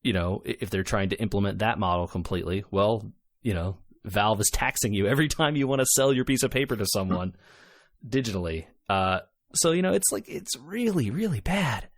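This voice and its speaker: A man's voice: lowish voice, lively intonation, a little fast, no echo. A man co-hosting a casual gaming podcast, talking emphatically and with exasperation, repeating words for stress. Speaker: podcast co-host.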